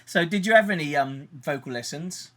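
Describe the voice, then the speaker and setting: A man's voice: on the high side, animated, moderate pace, sharp tone. A man from England hosting an interview, asking casual questions with short prompts and informal grammar. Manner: informal, short prompts, says "you was". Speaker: interview host.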